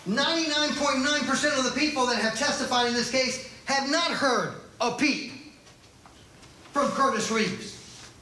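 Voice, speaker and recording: A woman making an emphatic, deliberate courtroom argument as a lawyer. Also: high-pitched, pushed and bright. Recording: echoey courtroom, distant mic, faint noise.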